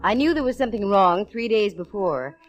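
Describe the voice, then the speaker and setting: An actress playing a confident, nosy private eye in a breezy, slangy 1940s radio style. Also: female voice, mid-range voice, lively intonation, a little fast, lightly acted. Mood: wry narrating. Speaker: lead actress in a radio detective serial. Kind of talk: 1940s radio acting, hard-boiled narration and dialogue. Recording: vintage radio show, music behind.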